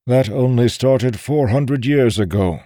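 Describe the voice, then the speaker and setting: A man playing a stubborn, self-assured male warrior in a fantasy audiobook, speaking in a grave, formal, measured way. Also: medium pitch, mellow, no echo, clean studio sound, pitched above the performer's own voice, close to an everyday voice. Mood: firm.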